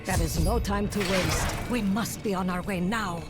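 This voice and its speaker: A woman's voice: mid-range voice, moderate pace, loud music behind the voice, lightly acted. A woman playing a solemn, determined seer in a video game cutscene. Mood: solemn, urgent.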